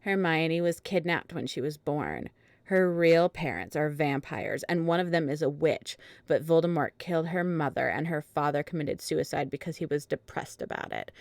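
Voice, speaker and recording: A woman with a very low voice narrates fan fiction as a dramatic reading. The sound is dry and close, with no room around her. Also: no room sound.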